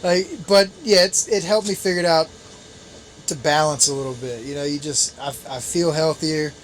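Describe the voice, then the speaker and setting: Man, late 30s, easygoing rambling podcast chat, slightly high voice, faint outdoor noise. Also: moderate pace, poor audio. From Chesapeake Bay area, US. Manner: relaxed, rambling, with restarts and laughs. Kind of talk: casual chat. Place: on a boat out on the water.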